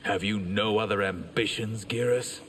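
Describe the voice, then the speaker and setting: A man's voice: lowish voice, fairly even intonation, moderate pace, very noisy, close to an everyday voice. An actor playing a serious, questioning male fighter in a video game. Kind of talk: video game character dialogue.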